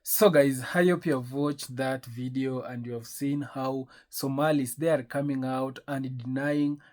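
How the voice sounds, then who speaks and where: A man's voice: mid-range voice, steady tone, unhurried, a little mellow. A man hosting a commentary video, addressing viewers directly. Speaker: commentary channel host.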